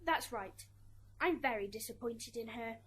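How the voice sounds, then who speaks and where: High-pitched petulant schoolgirl played by a woman. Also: animated, a little slow, fairly bright tone, husky, full character voice. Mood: sullen. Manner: petulant, self-justifying. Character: resentful schoolgirl daughter testifying in afterlife court. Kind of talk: amateur radio drama acting. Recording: hissy amateur recording, background noise.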